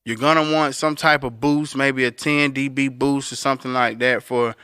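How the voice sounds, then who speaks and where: A man with a bright, forceful voice and a clear, resonant tone talks casually as a podcast co-host. He explains things like a working engineer, straight to the listener, in a close, dry recording. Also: steady tone, normal speed, no room sound. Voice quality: deep voice. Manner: conversational explainer, addressing listeners directly. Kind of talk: casual instructional talk.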